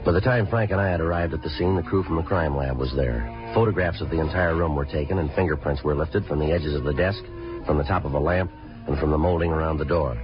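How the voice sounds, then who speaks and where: An actor playing a terse, businesslike police detective, clipped and deadpan, in a 1950s radio police procedural. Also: male voice, extremely low pitch, quick pace, over music. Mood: flat, factual. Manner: clipped, deadpan, matter-of-fact. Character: terse, businesslike police detective, narrating his own case. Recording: muffled old radio broadcast recording.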